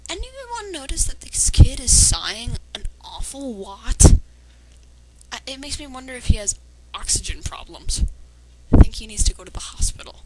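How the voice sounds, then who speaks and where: A woman's voice: a little high, very animated, moderate pace, background noise. A woman reading fan fiction aloud with sarcastic, critical commentary. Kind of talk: dramatic reading with mocking commentary.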